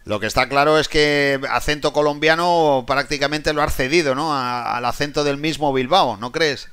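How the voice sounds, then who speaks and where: A man's voice: a little high, steady tone, normal speed, a bit bright, clean tone. A man interviewing a boxer, asking casual, friendly questions. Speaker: sports interviewer.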